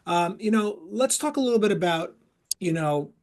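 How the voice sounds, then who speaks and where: Halting podcast host, man. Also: high voice, expressive, a little mellow. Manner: halting questions full of "you know" and restarts. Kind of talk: casual podcast interview.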